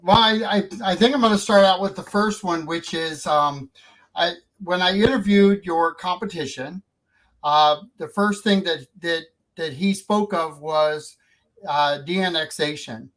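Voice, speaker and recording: A male radio host with a voice pitched a little above the usual for men. He talks informally, as in a live interview, and puts his questions directly. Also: a little slow, fairly bright tone, poor audio.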